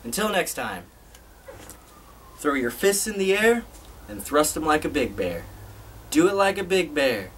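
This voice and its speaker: A man's voice: fairly high pitch, very animated, a bit bright. A young man in a small bedroom giving a casual TV review vlog to a webcam, with loose restarts. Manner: unhurried, loose delivery with restarts. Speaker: video reviewer.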